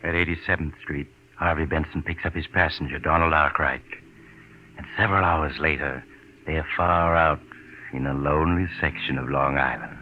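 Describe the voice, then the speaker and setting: An actor playing an ominous storyteller, narrating a 1940s radio suspense tale from a script. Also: male voice, very deep voice, fairly even intonation, normal speed. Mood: measured, foreboding. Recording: dull, very noisy vintage radio recording.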